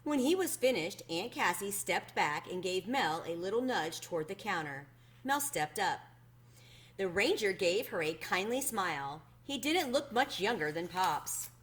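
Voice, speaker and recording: A woman reading a children's story aloud as a host, in an expressive, plainly addressed storytelling manner. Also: medium pitch, a little slow.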